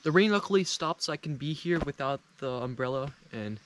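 Young male travel vlogger, casual rambling delivery, medium pitch, self-deprecating. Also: expressive, brisk, noisy background. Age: young adult. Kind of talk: casual chat to camera. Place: outdoors at a rural mountain train station in rain.

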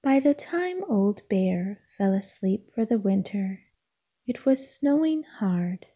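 A woman reading a children's picture book aloud as narrator, in a calming, gentle, hushed bedtime-story manner. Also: mid-range voice, slow, breathy edge.

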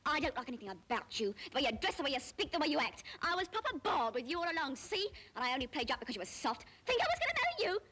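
An actress playing a young, scornful, sharp-tongued good-time girl in an old British film. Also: sits high, sing-song, very fast, hardly any pauses, heavily acted. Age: young adult. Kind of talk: 1940s British film acting. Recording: faintly noisy old film soundtrack.

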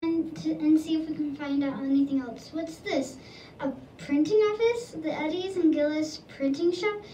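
A woman's voice: high voice, moderate pace, warm tone, slight background noise, natural voice. A woman playing an inquisitive news reporter who questions passersby and hands over to a colleague, in an echoey room recorded from a distance. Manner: inquisitive, presenting. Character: eager female news reporter.

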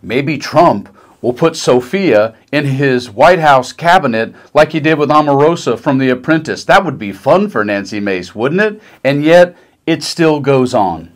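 A man's voice: medium pitch, lively intonation, a little slow. A middle-aged man giving sarcastic, mocking political commentary in a conversational way. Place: small decorated room indoors.

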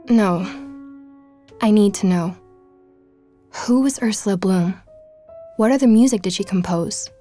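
A woman's voice: medium pitch, darkish tone, close to an everyday voice. An actress playing an earnest young woman journalist. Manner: earnest, intrigued, speaking to listeners. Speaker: podcast narrator. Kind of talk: scripted audio drama narration. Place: home bedroom.

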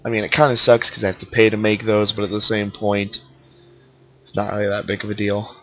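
A man's voice: lowish voice, level tone, fairly quick. Nasal, stuffed-up man talking through a strategy game. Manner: laid-back, thinking aloud as he plays. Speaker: let's play commentator. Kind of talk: casual gameplay commentary.

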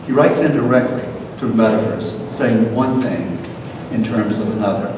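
A man's voice: fairly low pitch, normal speed. Older guy reading a lecture at a lectern, super echoey room, far from mic, noisy. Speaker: host, lecturer. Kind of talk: scripted public lecture.

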